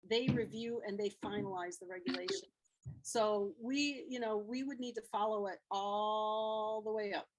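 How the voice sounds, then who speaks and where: A woman activist speaks on a video call at a medium pitch. She explains things informally and hesitantly, stopping and restarting with plenty of fillers. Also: fairly even intonation, moderate pace, poor audio. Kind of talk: informal talk answering questions.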